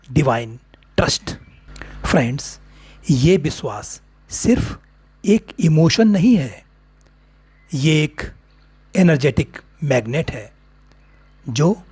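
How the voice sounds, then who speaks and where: A man with an Indian accent narrating a guided meditation in short, deliberate phrases with long pauses. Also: medium pitch, darkish tone, slightly rough, dry recording.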